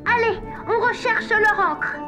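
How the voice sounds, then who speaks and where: A woman's voice: very high-pitched, measured pace, over music. A woman voicing a cheerful, helpful little wooden puppet boy in a French children's cartoon. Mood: cheerful, encouraging.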